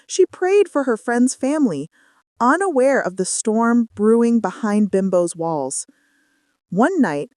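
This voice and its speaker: امرأة تروي حكاية شعبية بصوت مرتفع الطبقة ومتنوع النغمة. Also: female voice, on the high side, a little mellow, dry recording, studio quality. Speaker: storyteller. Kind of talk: scripted folktale narration.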